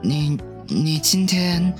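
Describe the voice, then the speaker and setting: A shy schoolboy in a radio play. The voice is low and slightly rough, dragging slowly and stumbling over words in timid hesitation. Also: female voice, lively intonation, a little mellow, soft background music, heavily acted. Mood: bashful. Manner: hesitant, stammering. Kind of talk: radio drama acting.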